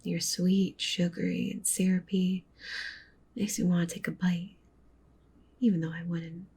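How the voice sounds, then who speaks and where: A woman playing a doting, possessive wolf witch girlfriend in an ASMR roleplay, speaking softly and breathily, often in a whisper. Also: fairly low pitch, level tone, measured pace, slightly rough, exaggerated voice. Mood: lovestruck, doting. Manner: cooing, doting, with possessive menace. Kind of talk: ASMR roleplay, intimate soft speech and whispering.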